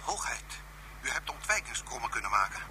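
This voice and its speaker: An actor playing a respectful, methodical base radio operator in a radio drama. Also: male voice, mid-range voice, very animated, brisk, sharp tone, a little hiss or hum, poor audio. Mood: attentive. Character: deferential, efficient male base radio operator.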